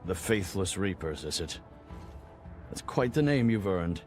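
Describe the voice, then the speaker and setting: An actor playing a gracious, plain-spoken fort general in a video game, formal and declamatory in delivery. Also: male voice, low voice, sing-song, unhurried. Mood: warm, somber. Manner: formal, old-fashioned, declamatory. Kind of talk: dramatic video game cutscene acting. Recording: loud music under the voice.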